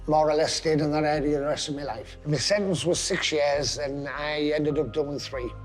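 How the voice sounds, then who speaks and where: A man's voice: on the high side, steady tone, moderate pace. A man with a northeast English accent, talking bluntly and with swearing in a documentary interview. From northeast England. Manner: blunt, profane. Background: music.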